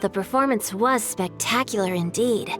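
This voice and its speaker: A woman's voice: mid-range voice, normal speed, close to an everyday voice. A woman voicing a poised, playful young aristocrat in a video game, polite, articulate and wry. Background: music.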